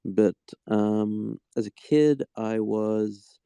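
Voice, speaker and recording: A man in his thirties talks in a low, gravelly voice that stays smooth and mellow. He answers at an easy pace and stops often to think. The sound is dry and close, with no room echo. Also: very slow. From Australia. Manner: relaxed, thoughtful, many ums. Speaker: guest. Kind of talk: casual interview.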